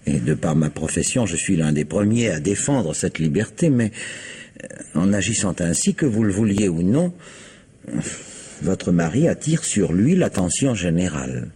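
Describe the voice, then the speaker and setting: A formal, cautious notary in a vintage radio drama. His very deep voice is mellow and strongly breathy. He weighs his words with polite, hesitant pauses. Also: very animated, full character voice. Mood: measured. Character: cautious, formal middle-aged male notary.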